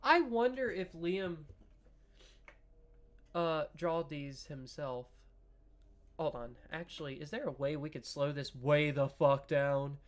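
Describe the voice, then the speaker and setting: A man casually playing through a visual novel, reading lines aloud and cracking self-mocking jokes with swearing and sudden loud laughs. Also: on the high side, takes it slowly, long pauses. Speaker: gaming YouTuber. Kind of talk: casual let's-play commentary with read-aloud narration.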